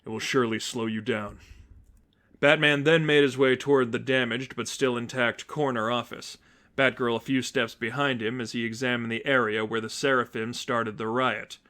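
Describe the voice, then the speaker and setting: A man's voice: mid-range voice, little pitch movement, normal speed, bright, forceful tone. A man reading fan fiction aloud as a scripted story narrator.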